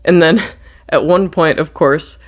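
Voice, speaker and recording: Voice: medium pitch, takes it slowly. This is a movie reviewer with a mid-range voice, recapping horror in a casual way. The delivery rambles conversationally and is peppered with swearing.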